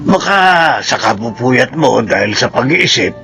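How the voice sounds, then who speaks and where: An elderly, tender-hearted Filipino grandfather in a radio drama. His voice is gravelly and sits at a middle pitch, with a soothing, concerned delivery. Soft music plays behind him. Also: big pitch swings, a little slow, heavily acted. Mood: soothing, coaxing. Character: gentle, caring elderly grandfather.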